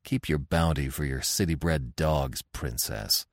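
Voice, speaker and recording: A man playing a fierce, proud barbarian warrior chief in an audiobook adventure, gruff, growling and sardonic. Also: low voice, very animated, a little slow, a little mellow, dry recording, studio quality, full character voice. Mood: growling, defiant.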